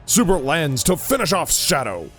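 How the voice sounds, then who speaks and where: A man's voice: a little high, huge pitch swings, normal speed, over music. A man reading scripted narration for an audio drama.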